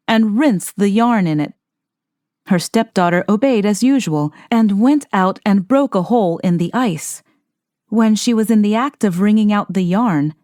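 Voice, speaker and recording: Expressive woman storyteller, dry close sound. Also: mid-range voice, no room sound. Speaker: storyteller, audiobook narrator. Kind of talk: expressive scripted fairy tale narration.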